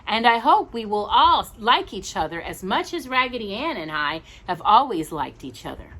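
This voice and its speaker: A woman's voice: medium pitch, sing-song, moderate pace, pushed and bright, rough recording. A woman voicing a cheerful, kindly boy rag doll in a storybook, earnest and cheery.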